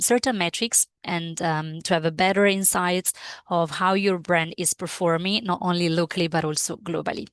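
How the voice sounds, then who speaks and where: A woman whose first language is Italian presents a live software training webinar, pausing often with fillers and restarting her phrases. Her voice sits low. The sound is dry and close. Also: no echo. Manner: hesitant, many fillers and restarts.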